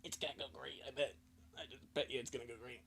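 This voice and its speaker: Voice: medium pitch, flat delivery, fast talker, gravelly, a bit bright, breathy edge. A livestreamer chatting casually and rambling, easily sidetracked and self-deprecating.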